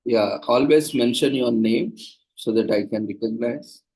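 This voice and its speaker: A man's voice: medium pitch, measured pace, darkish tone, slightly rough, rough recording. A man organizing a seminar, patiently explaining procedures to participants over a video call. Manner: patient, instructive, plain and practical.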